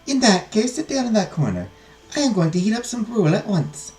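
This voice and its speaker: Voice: medium pitch, big pitch swings, fairly quick, darkish tone, recorded from a distance, soft background music, voice pushed up, natural voice. A performer voicing a kindly, easily shocked older nun who exclaims and draws out her words in a storybook reading. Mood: welcoming.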